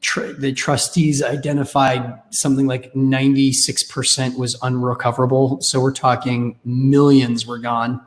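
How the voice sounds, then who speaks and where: Raspy-voiced Canadian man with a cold. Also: medium pitch, level tone, moderate pace, few pauses. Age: 30s. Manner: candid, wry. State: has a cold. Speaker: podcast guest. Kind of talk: casual interview storytelling.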